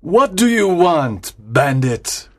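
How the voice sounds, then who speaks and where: A swaggering, heavy-set sergeant, as a man puts him on, his voice pitched higher than a normal speaking voice and swinging up and down in a lively way. He speaks slowly and with bluster, boastful and ready to pick a fight. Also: full character voice. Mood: hostile.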